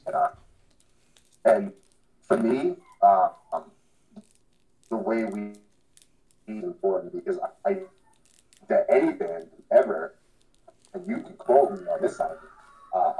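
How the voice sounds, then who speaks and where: A man with a low, dark and relaxed voice talks casually as an interview guest. He rambles earnestly through his answers in loose, slangy speech. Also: slow, long pauses, poor audio. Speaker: podcast guest, hardcore band vocalist.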